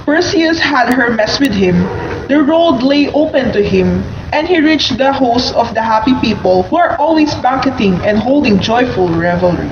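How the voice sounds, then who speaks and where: Casting: female storyteller, slightly high voice, scripted radio-play narration; muffled, noisy take. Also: expressive, normal speed. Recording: muffled, echoey room with background noise.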